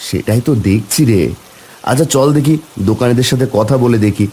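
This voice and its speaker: A man's voice: medium pitch, brisk, warm tone, slight background noise, close to an everyday voice. A man voicing a worried, caring village husband in a cartoon, with a Bengali accent and colloquial, exclaiming speech. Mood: resolute. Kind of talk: cartoon dialogue acting.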